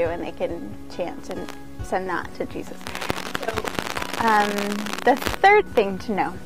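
A woman's voice: medium pitch, poor audio. A woman giving an informal instructional talk as a yoga teacher, conversational and earnest.

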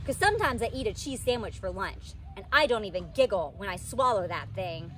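A woman's voice: mid-range voice, very animated, a little fast, a bit bright. A woman voicing a stubborn, chatty little kindergarten girl in a storybook, blunt and childlike in manner. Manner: blunt, indignant, childish grammar. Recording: outdoor background noise.

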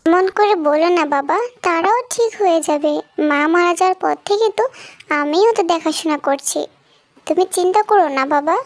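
A woman's voice: very high-pitched, fairly quick, dry recording. A woman playing a dutiful, selfless young eldest daughter in a fairy-tale cartoon, speaking in an earnest, girlish, soothing way. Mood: reassuring.

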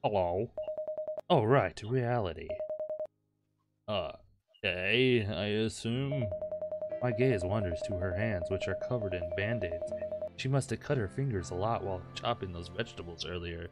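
Low-voiced man jokily reading aloud over music. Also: a little slow. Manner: jokey, mock-dramatic, breaks off to riff. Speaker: gaming video host reading a visual novel. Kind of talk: comic read-aloud of game text with joking asides. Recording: music playing behind. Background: loud background music.

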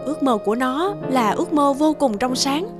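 A high female voice plays a gentle, understanding mother in a cartoon. She reasons earnestly and persuasively. Background music runs beneath her. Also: expressive, normal speed. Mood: gently persuasive. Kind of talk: animated moral-story dubbing.

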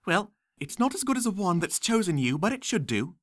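A prim, bossy young witch, put on by a male reader, lecturing in a raised, bright, lively voice. She sounds quick to correct and fussy about rules, like a top student in a storybook. The reading is close and dry. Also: high voice, no echo, voice pushed up, full character voice.

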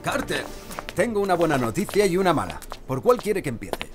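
An actor playing a whimsical, exuberant, sickly lord in an audio drama, with a courtly, droll manner. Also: male voice, a little high, big pitch swings, brisk, over music. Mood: excited, jubilant. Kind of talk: Spanish-language audio drama acting.

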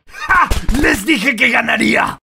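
A man playing an arrogant, explosive teenage hothead in an anime fandub, with a Latin American accent and a gravelly, forceful voice. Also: very high-pitched, takes it slowly, faint music behind, full character voice. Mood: furious boasting. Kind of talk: anime comic fandub acting.

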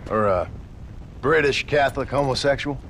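Western game lead, male actor: American cowboy, mid-pitched, gruff, dry, sardonic, few words. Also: moderate pace, fairly bright tone, slight background noise, close to an everyday voice. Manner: dry, sarcastic, laconic. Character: gruff, sardonic middle-aged cowboy gunslinger. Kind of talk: video game cutscene acting.

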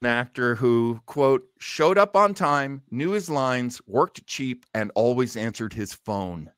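A man's voice: mid-range voice, animated, takes it slowly, a bit bright, clean tone, no room sound, studio quality. A middle-aged man hosting a show on a video call, reading quotes aloud and introducing clips. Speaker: podcast host. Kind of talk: casual chat on a video call. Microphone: headset and studio mic.

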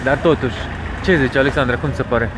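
A man from Romania vlogging casually, tired and complaining, filmed on an action camera on a busy street. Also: medium pitch. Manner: talks while walking, complaining and tired. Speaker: travel vlogger. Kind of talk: casual vlog commentary. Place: busy street outdoors, then tuk-tuk and guesthouse. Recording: street noise behind.

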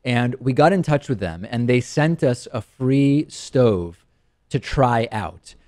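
Male studio host, 30s, keen and playful, mid-pitched voice, close dry mic. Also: lively intonation, slow pace, darkish tone, no room sound. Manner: enthusiastic, teasing his co-host. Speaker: show host. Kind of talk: casual product review chat. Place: TV studio set. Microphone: studio mic on a stand.

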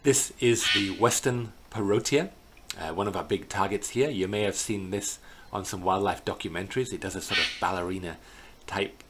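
A middle-aged man giving an unscripted slideshow narration as a tour guide, in a hesitant, halting way, recorded indoors on a computer microphone. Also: low-pitched, a bit bright. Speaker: bird tour guide presenting a virtual tour. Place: indoors in a small plain room. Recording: clear background noise.

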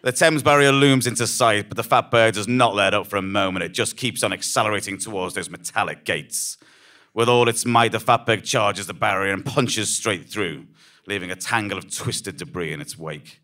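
Deep, bright-voiced dramatic male storyteller. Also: normal speed. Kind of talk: dramatic scripted narration of an absurd tale, read live. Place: on a small theatre stage before a seated audience. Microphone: stand microphone.

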